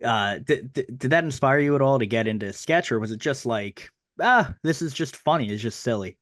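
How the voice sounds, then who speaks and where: A man's voice: mid-range voice, expressive, brisk, a bit bright, dry recording, clean studio sound. A young man hosting a podcast, asking questions in a hesitant way with many fillers and frequent laughs. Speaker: podcast host. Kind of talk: casual interview.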